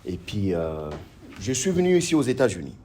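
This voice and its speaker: A man's voice: on the low side, normal speed. A man from Congo, a guest author and police officer answering interview questions with many fillers and restarts. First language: French. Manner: hesitant, many fillers and restarts, grows animated.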